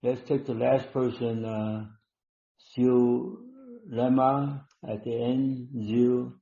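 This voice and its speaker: A man hosting a live online healing session, talking slowly and instructively, repeating words with many ums. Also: medium pitch, fairly even intonation, gravelly, dark tone. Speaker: webinar host and healer. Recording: dull roomy online call audio.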